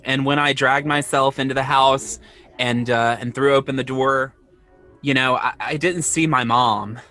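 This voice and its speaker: A man playing an anxious, defensive man who confides haltingly and self-consciously in a naturalistic audio drama. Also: medium pitch, steady tone, pushed and bright, faint music behind. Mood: anxious, confessional. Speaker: podcast host playing himself in audio drama.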